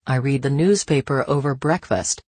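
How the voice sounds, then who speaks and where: A woman with a very deep voice for a woman reads learner phrases one by one. Her delivery is slow and measured, with a pause after each line. The recording is dry, with no reverb. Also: breathy edge. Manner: slow, even, careful phrase-by-phrase reading. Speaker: phrase reader. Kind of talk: reading translated phrases for learners.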